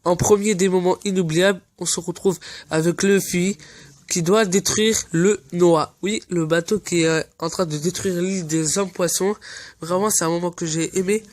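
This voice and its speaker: A man hosting a fan channel, stuffy from a cold, giving casual, earnest commentary that often pauses to search for words. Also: high voice, fairly even intonation, no room sound. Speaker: anime fan channel host.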